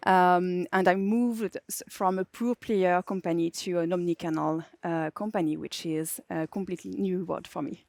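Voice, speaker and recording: A woman's voice: lowish voice, fairly even intonation, normal speed, vocal fry, few pauses, darkish tone, no room sound. Panelist, woman in her thirties, matter-of-fact, dry close sound. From France. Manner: plain, matter-of-fact, some fillers. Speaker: panelist, delivery manager. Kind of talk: conference panel talk. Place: conference stage.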